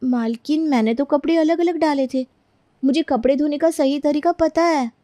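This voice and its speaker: A woman's voice: high voice, moderate pace, mellow, no room sound, studio quality, pitched above the performer's own voice, lightly acted. A woman voicing a meek, put-upon young housemaid who speaks timidly and deferentially in a cartoon story. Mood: timid, defensive. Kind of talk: Hindi cartoon story character dialogue.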